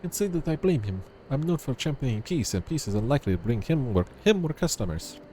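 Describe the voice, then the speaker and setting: A man's voice: medium pitch, very animated, quick pace, slight background noise, natural voice. A man voicing a plucky, determined young woman who seeks a throne, reading fantasy game dialogue aloud. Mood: frank, teasing. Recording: loud music under the voice.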